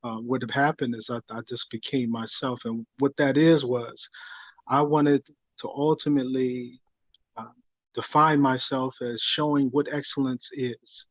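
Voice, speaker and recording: A man being interviewed, telling his life story earnestly in a halting way full of fillers and restarts. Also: medium pitch, fairly even intonation, no echo, lo-fi sound. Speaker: guest. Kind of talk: reflective conversational storytelling.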